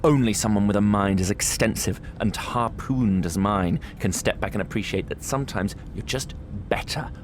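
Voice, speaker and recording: A man's voice: fairly low pitch, normal speed, few pauses, close to an everyday voice. Pompous British male detective , played by an actor, snobbish and self-important, comic audio drama, faint noise behind. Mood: smug. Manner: pompous, grandiose.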